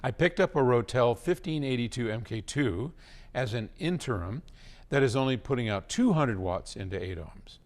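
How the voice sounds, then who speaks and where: A man's voice: mid-range voice, expressive, normal speed. A man hosting a question-and-answer talk, speaking in an informal, conversational way as he gives advice. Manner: conversational, informal, with frequent throat clearing. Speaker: host answering listener questions.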